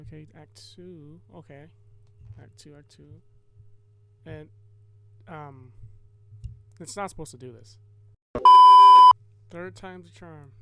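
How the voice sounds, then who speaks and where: Flat-toned young-sounding man narrating, slightly high. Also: vocal fry, a little hiss or hum, rough recording. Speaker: game developer and video host. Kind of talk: casual devlog narration.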